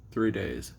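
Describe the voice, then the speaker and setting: A man playing a weary, lonely, bitter assassin recording a halting, confessional audio-drama memoir in a gravelly voice. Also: slight background noise. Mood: subdued, haunted. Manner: halting, brooding, self-deprecating, trailing off. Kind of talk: confessional audio roleplay monologue.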